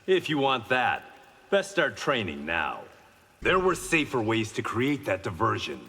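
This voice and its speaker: A principled warrior from a video game, frank and supportive. His medium-pitched voice has plenty of movement and carries a long reverb with slight background noise. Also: wildly swooping pitch, measured pace, bright, forceful tone, slightly rough, full character voice. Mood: steady, frank. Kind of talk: video game dialogue before fights.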